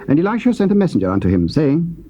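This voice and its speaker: An English man reading Bible narration in a steady, formal way on a lo-fi tape. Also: fairly high pitch, fast, slight background noise. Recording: dull lo-fi tape.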